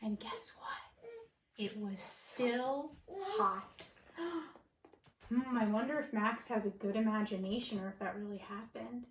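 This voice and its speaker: A woman teacher giving an expressive picture-book read-aloud for children, dramatic, with gasps, whispers and emphasis. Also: on the high side, a little slow, echoey room, slight background noise. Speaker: elementary school teacher.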